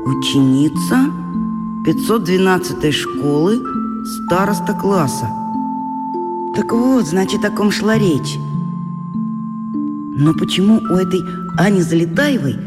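An actor playing a sulky, curious, self-doubting schoolboy son in a children's radio play. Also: male voice, high voice, expressive, takes it slowly, long pauses, big-room sound. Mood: wondering, wistful. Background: loud mallet percussion music.

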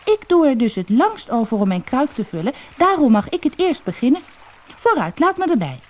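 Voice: high-pitched, very animated, fairly quick, pitched above the performer's own voice. A storyteller voicing a small, stubborn youngest brother in a fairy tale, whiny and demanding.

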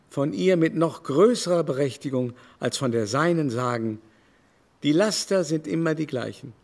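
An actor in a German radio play voicing a vain, haughty older baron with a mannered, theatrical, confiding delivery. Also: male voice, a little high, gravelly. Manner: mannered, theatrical, drawn-out emphasis.

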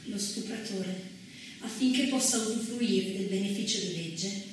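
A woman performing as a stern, formal narrator of laws, declaiming in a measured way from a distance, with heavy echo and faint music. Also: mid-range voice, background noise, natural voice. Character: stern female narrator reciting laws and court rulings. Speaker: stage actress. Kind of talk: dramatic scripted theatrical narration.

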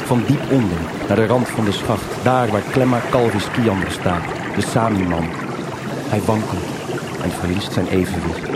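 A man's voice: on the low side, fairly even intonation, moderate pace, very noisy. A man narrating a radio noir crime drama in dramatic, clipped short sentences. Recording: live radio play, loud water effects. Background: loud gushing water sound effects.

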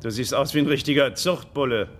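An actor playing a provocative, bullying police detective in a German radio crime drama, blunt, insolent and needling. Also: male voice, mid-range voice, a little fast, a little hiss or hum, natural voice. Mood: provocative, contemptuous.

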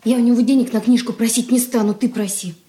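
A woman's voice: on the high side, fairly even intonation, fast talker, warm tone, natural voice. An actress playing a chatty, curious, stubborn schoolgirl in a radio drama, girlish and conspiratorial. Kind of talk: Soviet radio drama stage acting.

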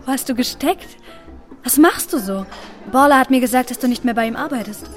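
An actress playing a lively, friendly young female student in a German radio drama. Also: sits high, a little fast, soft background music, close to an everyday voice. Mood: friendly, curious. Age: young adult.

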